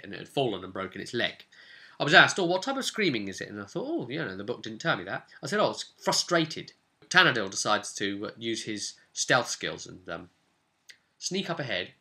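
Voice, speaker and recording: A man with a low, forceful, bright-edged voice gives an informal recap as a game master. He tells it as a story, animatedly slipping in short quoted lines as he goes. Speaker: game master recapping a tabletop role-playing session.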